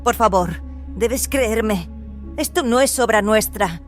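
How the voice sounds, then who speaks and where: Una mujer que interpreta en un audiodrama a una clon atemorizada y suplicante. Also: female voice, on the high side, slow, faint music behind. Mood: pleading panic. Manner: fearful, pleading. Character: Ro, frightened pleading female clone. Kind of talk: audio drama acting.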